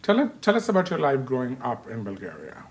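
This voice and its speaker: A man's voice: mid-range voice, wildly swooping pitch, fast, breathy edge. Male talk show host, affirming. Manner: affirming, paraphrasing the guest with frequent mm-hmms. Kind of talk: interview hosting with scripted introduction.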